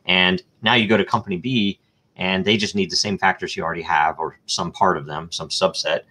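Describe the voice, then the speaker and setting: A man's voice: deep voice, fairly even intonation, brisk, sharp tone. A man answering questions as a guest in a live online interview, explaining step by step through examples and thinking aloud with frequent ums, uhs and restarts.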